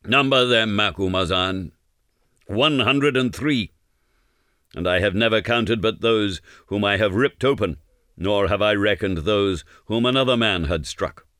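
A fierce old storybook warrior, acted out by a male narrator, declaims with grand pride and bloodthirsty boasting. The voice is bright and forceful, in a very dry recording. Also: lowish voice, measured pace, dry recording. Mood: boastful. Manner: declamatory archaic speech, boastful.

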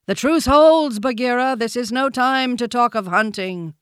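Man playing wild elephant, storybook, booming, gurgling, raised pitch, commanding. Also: expressive, extremely slow, clear, resonant tone, dry recording, clean studio sound. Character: big wild male elephant, weighty, calm peacekeeper.

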